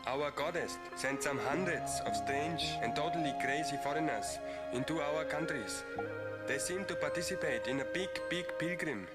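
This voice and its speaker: A man's voice: a little high, normal speed, close to an everyday voice. A man playing a bemused Himalayan local who narrates, mystified and dismissive, about strange foreigners. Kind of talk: character narration. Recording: loud music under narration.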